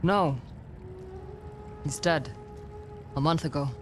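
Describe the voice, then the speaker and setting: An actress playing a tough, guarded young warrior in a video game, blunt and impatient, with a dry, no-nonsense delivery. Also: female voice, deep voice, slow. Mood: subdued. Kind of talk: cinematic video game acting. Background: background music.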